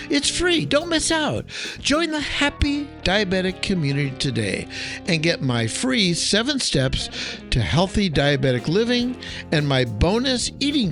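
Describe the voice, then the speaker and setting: Upbeat guy hosting a podcast, really animated, voice goes up and down a lot, kinda high. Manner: enthusiastic, emphatic delivery. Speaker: podcast host, chef.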